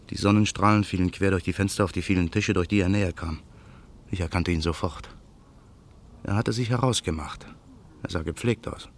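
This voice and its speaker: An actor playing a vengeful former musician, narrating his calm, measured thoughts in a radio play. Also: sits low, slight background noise. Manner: calm, measured inner monologue. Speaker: narrator and lead character.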